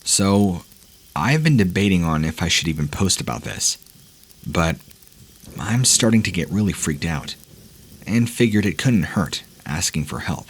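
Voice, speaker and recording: A man reading listener-submitted scary stories aloud in a measured, ominous storytelling manner. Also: deep voice, expressive, slight background noise. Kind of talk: scripted horror narration.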